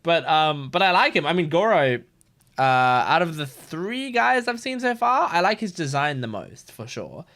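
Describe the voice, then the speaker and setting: High-pitched, animated man giving casual reactions. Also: moderate pace. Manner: informal, playful, rambling. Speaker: reaction video host.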